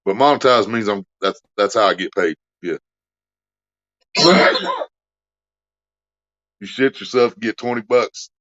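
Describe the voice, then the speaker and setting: A man's voice: on the low side, a little slow, pauses often, a bit bright, lo-fi sound. A man hosting a casual livestream, cracking crude jokes and reading out donations. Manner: crude joking, reads donations aloud. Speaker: livestream host.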